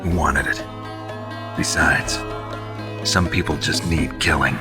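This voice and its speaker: An actor playing a gruff, weary war veteran in a video game, stoic and blunt, with a raspy, clipped, deadpan delivery. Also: male voice, extremely low pitch, slow, music in the background. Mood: cold, blunt. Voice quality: very raspy, gravelly, husky. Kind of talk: dramatic video game voice acting.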